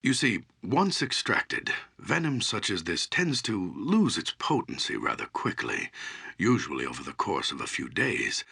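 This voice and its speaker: An actor playing an elderly, humble and wise archdruid in a fantasy game, speaking gravely in a measured, formal, theatrical way with a gravelly, husky voice. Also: male voice, medium pitch, sharp tone, full character voice. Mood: pondering, grave.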